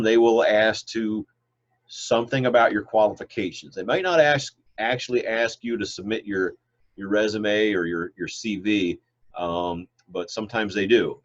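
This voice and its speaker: Low-voiced congested male professor. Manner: loose, rambling, many fillers and restarts. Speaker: biology professor. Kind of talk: online class lecture with questions. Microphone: video call. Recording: dull lo-fi video call audio.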